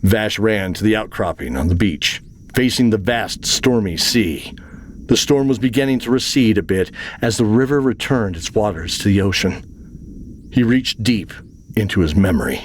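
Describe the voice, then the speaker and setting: A man's voice: fairly low pitch, animated, normal speed, a little hiss or hum. A man narrating a scripted fantasy adventure story as the narrator of an audio drama.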